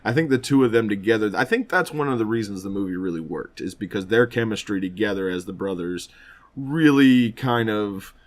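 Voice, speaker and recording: A man co-hosting a podcast in casual chat about films, speaking in an animated, opinionated way with frequent swearing. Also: lowish voice, fairly quick. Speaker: podcast co-host.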